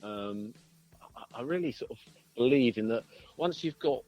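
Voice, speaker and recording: A man's voice: medium pitch, expressive, moderate pace, dark tone, rough recording. A man, a musician being interviewed, talking casually in a hesitant, rambling way. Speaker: band musician, interview guest.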